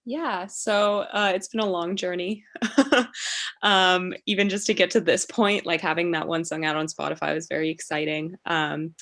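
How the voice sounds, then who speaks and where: A young woman from China with an American accent, chatting hesitantly as a musician guest on a video call, with many pauses and fillers. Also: on the low side, steady tone, fairly bright tone. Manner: hesitant, many ums, laughs easily. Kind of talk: casual video call interview.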